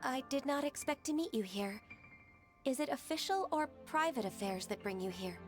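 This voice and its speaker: A gentle, dutiful half-divine secretary. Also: female voice, high voice, lively intonation, normal speed, music in the background. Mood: wistful. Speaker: game character.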